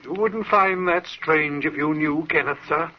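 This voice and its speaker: An actor playing a devoted, anxious servant in an old-time radio drama, earnest and emotional. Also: male voice, on the high side, measured pace, close to an everyday voice. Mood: fearful, awed. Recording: muffled, hissy old radio recording.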